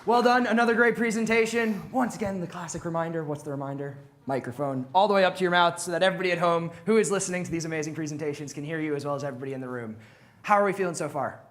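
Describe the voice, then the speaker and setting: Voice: mid-range voice, rapid-fire. An event host emceeing live in an upbeat, encouraging way to a room audience. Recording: live event with faint crowd.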